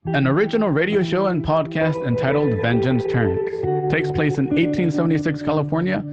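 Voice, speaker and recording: A man's voice: fairly high pitch, moderate pace. A man delivering a scripted promo as the announcer of a radio show, with guitar music playing behind him. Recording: dull lo-fi sound under loud music.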